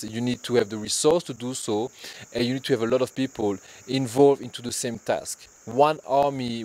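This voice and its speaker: A man's voice: mid-range voice, a little mellow, poor audio. A man in his forties from Rwanda, a DJ giving an earnest, reflective talk to workshop students. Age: 40s. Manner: earnest, reflective, speaks in repeated lists. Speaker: DJ leading a workshop.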